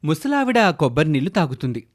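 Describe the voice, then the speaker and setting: Animated high-pitched male storyteller. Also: fast. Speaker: storyteller narrator. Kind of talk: scripted children's story narration.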